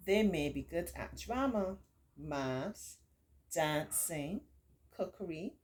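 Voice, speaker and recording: Middle-aged female storytime tutor, deep voice, reassuring and encouraging, stretches key words while reading and explaining to children and parents. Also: animated, slow pace, a little mellow. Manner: encouraging, reassuring, repeats points for emphasis. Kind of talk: read-aloud story with warm, informal teaching asides.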